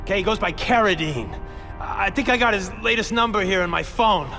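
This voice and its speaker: A man voicing a shifty, nervous gang boss who stammers and wheedles in a gravelly voice in a video game. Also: high-pitched, a little fast. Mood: nervous, wheedling. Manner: stammering, pleading. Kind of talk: video game cutscene acting. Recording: long reverb with music behind.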